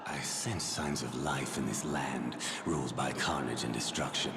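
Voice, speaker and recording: An actor playing a resentful walking skeleton from the land of the dead in a video game, brooding and ominous in archaic speech. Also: male voice, extremely low pitch, steady tone, moderate pace, noisy background. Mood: bitter. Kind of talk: dramatic video game voice acting. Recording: voice with reverb under loud music.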